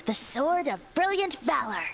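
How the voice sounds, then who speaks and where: Playful poetic bard boy from a game, light high voice, very animated, theatrical. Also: a little slow, creaky, heavily acted. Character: youthful whimsical male bard, playful and poetic. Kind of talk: video game voice acting.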